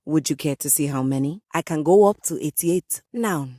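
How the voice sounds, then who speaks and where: A woman's voice: low voice, moderate pace, dark tone, dry recording, clean studio sound. A woman playing a proud, fussy living piano in a video game, speaking as a theatrical lady composer with formal, haughty diction. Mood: indignant, haughty. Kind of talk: video game character dialogue.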